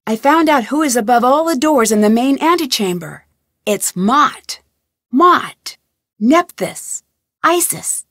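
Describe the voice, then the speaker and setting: An actress voicing a detective heroine in a game, stating clues in a matter-of-fact way. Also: female voice, sits high, animated, slow pace, a bit bright, dry recording, studio quality, exaggerated voice. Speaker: player character, detective. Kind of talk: video game voice lines.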